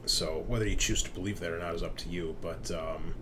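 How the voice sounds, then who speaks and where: A man's voice: sits low, steady tone, a little fast. A man narrating a tabletop roleplaying game casually as game master. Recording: clear background noise.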